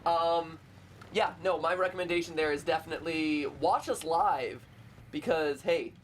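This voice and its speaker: A young man hosting a casual game livestream, goofy and excitable, breaking into shouts and playful sing-song bits. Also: a little high, normal speed, slight background noise. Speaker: host. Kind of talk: casual let's-play chat.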